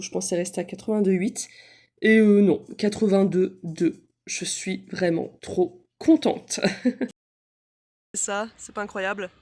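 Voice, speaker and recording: A woman in her thirties talking casually and candidly in a personal vlog, with many fillers and light laughs. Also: medium pitch. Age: 30s. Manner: chatty and candid, with frequent fillers and soft laughs. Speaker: vlogger. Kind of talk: casual confiding vlog chat.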